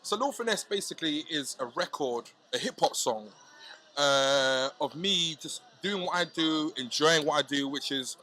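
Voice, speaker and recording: Animated slangy man, bright voice. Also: fairly high pitch, normal speed, a little hiss or hum. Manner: animated, slangy, repeats "you know what I'm saying". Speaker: hip hop artist guest. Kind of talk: casual podcast chat.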